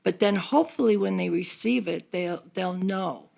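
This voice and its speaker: A woman's voice: lowish voice, normal speed, gravelly, a little mellow. An older woman talking earnestly and explaining things in a casual group discussion as a member of a seniors' group.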